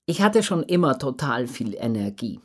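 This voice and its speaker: A woman's voice: rumbling low, very animated, moderate pace, slightly rough. A woman giving earnest, articulate answers as an interview guest. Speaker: interview guest, professional circus acrobat.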